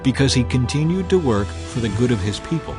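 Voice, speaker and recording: A man's voice: medium pitch, expressive, fairly quick. A man reading scripture as a scripted, dramatized audiobook narrator. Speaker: Bible narrator. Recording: music behind a dry studio voice.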